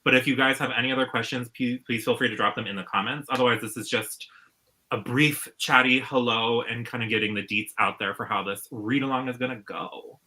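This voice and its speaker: A man's voice: medium pitch, fairly even intonation, brisk, pushed and bright. A man chatting casually as a co-host of a book discussion. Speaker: book reviewer, read-along co-host. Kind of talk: casual chat.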